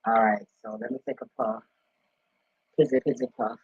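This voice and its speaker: A man with a husky voice talking casually and off the cuff, blunt and profane with streetwise slang, like an online spiritual commentator. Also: little pitch movement, normal speed. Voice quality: husky, deep voice. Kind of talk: casual, unscripted rambling talk. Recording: roomy, dull lo-fi home recording.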